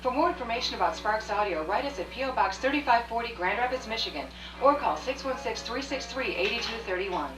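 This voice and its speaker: High-voiced woman announcer over music. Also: expressive, brisk, few pauses. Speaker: radio announcer reading credits. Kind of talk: scripted radio announcement. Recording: echoey distant live recording with music.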